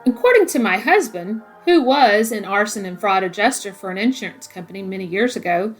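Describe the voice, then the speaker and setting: Female podcast host, medium pitch, outro talk, faint music. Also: animated, a little fast, low-quality recording.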